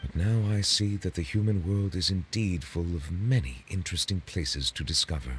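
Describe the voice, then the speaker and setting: An actor playing a courteous, solemn dignitary in a video game, speaking in formal, measured, ornate phrases. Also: male voice, sits low, slight background noise, natural voice. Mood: reflective, formal. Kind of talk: video game dialogue acting.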